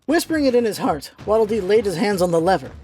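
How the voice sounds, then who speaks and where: A woman's voice: medium pitch, animated, quick pace. A woman reading scripted storybook narration as the narrator of a radio play.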